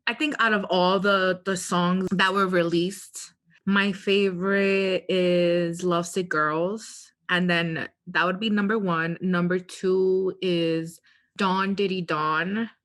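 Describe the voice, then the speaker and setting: A woman's voice: fairly low pitch, steady tone, slow pace, a bit bright. A woman co-hosting a podcast in a casual, opinionated way, with sassy, slangy talk. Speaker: podcast co-host.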